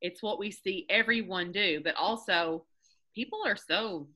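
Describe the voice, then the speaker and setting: A woman hosting a team video call, energetic and emphatic, laughing often and repeating points for effect. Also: medium pitch, a little slow. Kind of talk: motivational group call.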